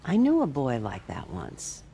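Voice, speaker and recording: An actress playing a kindly, caring older woman who runs a shelter in a video game, speaking gently over background noise. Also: rumbling low, wildly swooping pitch, a little slow, gravelly, full character voice.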